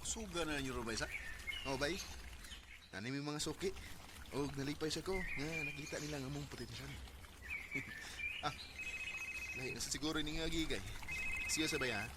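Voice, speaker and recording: A man's voice: fairly high pitch, moderate pace, long pauses, heavy background noise, natural voice. A man acting a selfish, ambitious young gig singer in a Bisaya radio drama, with a Cebuano Filipino accent and an emotive, hesitant, defensive manner. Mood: pleased.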